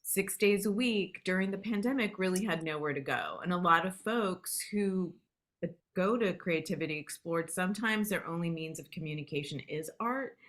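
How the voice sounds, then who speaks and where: Low-ish voiced woman on a video call, excited telling the story behind her film. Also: moderate pace. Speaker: filmmaker guest.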